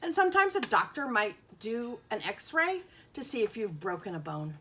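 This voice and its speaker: A woman's voice: fairly high pitch, sing-song, normal speed, sharp tone, slight background noise, rough recording. A woman teaching young children, reading aloud and explaining simply, with questions and carefully sounded-out words. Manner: sounds out letters and words with emphasis. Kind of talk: children's lesson and picture-book read-aloud.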